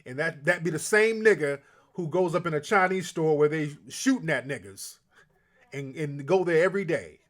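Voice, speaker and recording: A man's voice: a little high, moderate pace. Blunt, emphatic male talk-show host.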